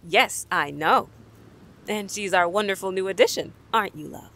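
A man's voice: high voice, normal speed, pushed and bright. A man playing a breezy, affectionate assassin in an audio drama, speaking playfully. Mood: breezy, amused.

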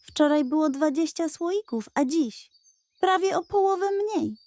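A woman playing a suspicious, quietly cunning housewife who talks like a detective in a children's audio tale. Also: high-pitched, lively intonation, unhurried, no echo, pitched above the performer's own voice. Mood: puzzled. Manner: muttering, shrewd.

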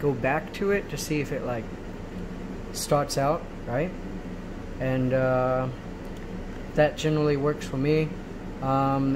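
Man rambling casually over clear background noise. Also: a little high. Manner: rambling, checks in with frequent "right?". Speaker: tutorial host. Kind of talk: casual software tutorial talk. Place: indoors in a room at home. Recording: noisy amateur home recording. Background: clear steady background noise.

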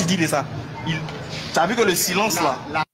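Insistent high-pitched man in a debate. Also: moderate pace, music in the background. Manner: insistent, repeats his points, asks to be heard calmly. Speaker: debater defending Islam. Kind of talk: heated live debate. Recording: replayed debate clip.

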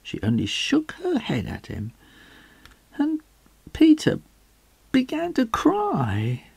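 Middle-aged male storyteller, husky and very animated, slow dramatic delivery for a children's picture book. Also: very high voice, dry recording. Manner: dramatic, drawn-out phrasing with hushed, breathy moments. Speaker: storyteller reading aloud. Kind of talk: expressive children's storybook reading.

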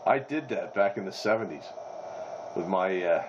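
A man's voice: deep voice, lively intonation, normal speed. A middle-aged or older man chatting casually and jokingly over CB radio. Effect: voice through CB radio. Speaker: CB radio operator. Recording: muffled, very noisy CB radio.

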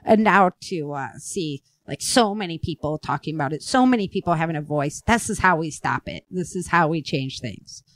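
A woman's voice: sits low, expressive, moderate pace, hardly any pauses. An American woman hosting a casual talk show, speaking in an earnest, opinionated and emphatic way. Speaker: podcast host and author.

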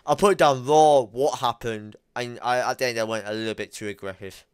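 Man, casual call chat, blunt, emphatic, smooth low voice, dry close mic. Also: a little fast, no echo. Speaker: YouTuber.

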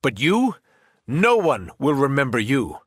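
A man's voice: mid-range voice, wildly swooping pitch, a little slow, husky, no echo, clean studio sound, exaggerated voice. An actor playing a menacing, arrogant alien superhero turned villain in a video game, taunting his foes with cold mockery and grandiose threats.

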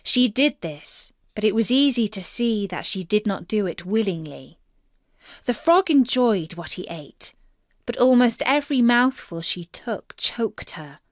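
A woman narrates a fairy tale for children. Her delivery is slow, measured and expressive. The sound is dry, with very little room in it. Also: mid-range voice, dry recording. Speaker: storyteller.

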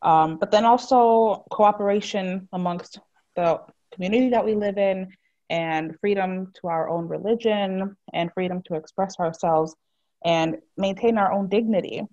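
A woman from Finland, a counselor at a women's shelter, speaking softly as a panelist on a video call. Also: medium pitch, a little slow, dry recording, dull audio.

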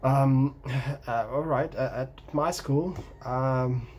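A man's voice: mid-range voice, drawn-out words, a little mellow, slight background noise. Egyptian man musing in a casual vlog. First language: Egyptian Arabic. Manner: hesitant, many ums and restarts, gestures while talking. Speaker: language-learning vlogger. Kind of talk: casual talking-head vlog. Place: small room at home by a window.

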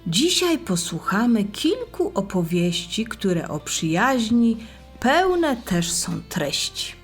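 A woman narrating a scripted rhymed Bible story for children in a deliberate, expressive way. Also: medium pitch, faint music behind.

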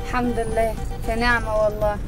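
Brash, quick Egyptian woman, high voice. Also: flat delivery, over loud music. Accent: Egyptian Arabic. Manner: brash, bantering, quick comebacks. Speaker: prank show host posing as a driver-grocer. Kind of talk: hidden-camera prank banter. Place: inside a parked car.

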